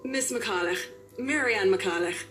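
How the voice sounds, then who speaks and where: A sophisticated woman played by an actress speaks with cool professional poise as an interior designer in a visual novel game. Her pitch is medium, with music faintly behind. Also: measured pace, fairly bright tone, natural voice. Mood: cool, brisk. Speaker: video game voice actor.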